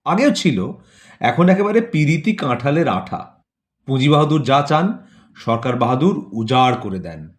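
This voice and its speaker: A man's voice: mid-range voice, sing-song, unhurried. A man reading a scripted satirical story, phrasing it rhetorically with repetitions and lists of words set apart. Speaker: narrator of an audio play.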